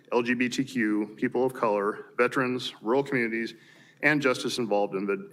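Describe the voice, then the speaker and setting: Fast-reading American man, formal. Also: mid-range voice, a bit bright. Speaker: mayor chairing a city council meeting. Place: city council chamber.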